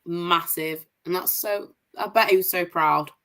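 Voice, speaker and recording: A woman's voice: fairly low pitch, measured pace, fairly bright tone. Chatty, posh-sounding woman host. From Manchester, England. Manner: upbeat, chatty, reels off scores with enthusiasm. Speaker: host of a women's football review show. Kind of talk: casual livestream results roundup.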